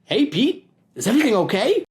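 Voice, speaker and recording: Man doing a nosy eager kid from a storybook, high voice, pushy, keeps asking stuff. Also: sing-song, brisk. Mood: curious, eager. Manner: bright, insistent, questioning. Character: curious, pushy young boy, eager playmate. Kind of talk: children's storybook read-aloud.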